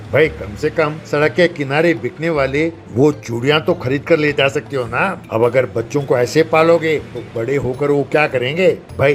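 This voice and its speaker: A man's voice: fairly high pitch, a little hiss or hum, natural voice. Man acting a proud rich father. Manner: haughty, lecturing. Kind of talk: animated moral story dialogue.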